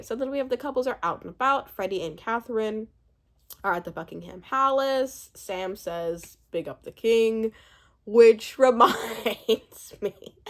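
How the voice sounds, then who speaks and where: A woman in her twenties giving a casual, chatty recap commentary, animated and rambling, often breaking off mid-thought. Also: mid-range voice. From born in Uganda, spent part of childhood there. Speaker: YouTube host reviewing a reality show.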